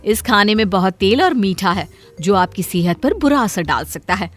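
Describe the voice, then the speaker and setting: A woman's voice: medium pitch, animated, moderate pace, natural voice. A woman voicing an elder daughter-in-law in a cartoon story, seemingly dutiful, coaxing and full of self-justification. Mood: coaxing, eager. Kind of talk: Hindi moral cartoon story voice acting.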